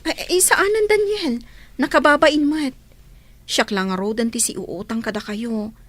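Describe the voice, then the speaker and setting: A woman's voice: high-pitched, unhurried, dry recording. A woman with an Ilocano accent playing a grateful, bashful village woman in a radio comedy drama, polite and prone to exclaiming. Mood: bashful, amused.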